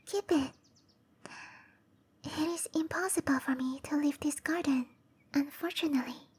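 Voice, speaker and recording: A woman voicing a gentle, shy cherry blossom dryad in whispery roleplay ASMR, with soft breathy speech and a tender, hesitant manner. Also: high-pitched, lightly acted. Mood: kind, insistent. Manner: slow, tender, hesitant delivery.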